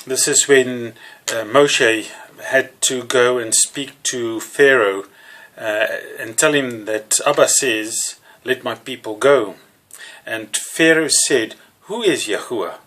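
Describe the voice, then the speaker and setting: Slow, bright-voiced Afrikaans-preacher. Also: male voice, mid-range voice. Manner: slow, halting, with many fillers and restarts. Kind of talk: sermon.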